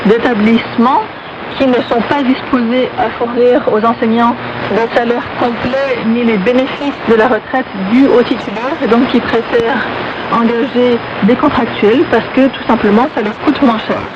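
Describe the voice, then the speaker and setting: High-voiced woman on noisy shortwave radio. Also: fairly even intonation, normal speed, dull audio. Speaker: radio news presenter. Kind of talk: explanatory news report.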